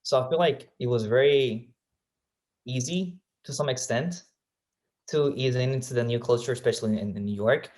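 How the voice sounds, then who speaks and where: A man's voice: mid-range voice, moderate pace, a little mellow. A young man from Colombia answering interview questions in a thoughtful way, with many fillers and restarts. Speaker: guest, real estate agent.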